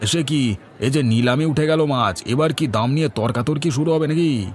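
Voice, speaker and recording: A man's voice: mid-range voice, moderate pace, slight background noise, close to an everyday voice. A man voicing a mild, inquisitive village man in a cartoon, with a questioning, conversational manner. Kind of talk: cartoon voice acting.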